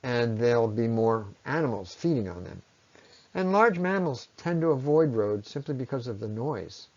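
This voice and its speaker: A man giving an explanatory science lecture in a measured way, pausing often and letting his phrases trail off at the ends. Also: medium pitch, big pitch swings, darkish tone. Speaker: presenter on ecology. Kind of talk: explanatory lecture on environmental impact. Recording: dull, lo-fi voice recording.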